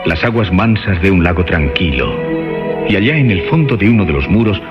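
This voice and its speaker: A man narrating a suspense radio play in a measured, literary, dramatic style. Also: low-pitched, sing-song. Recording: dull band-limited radio sound with music. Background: clear music.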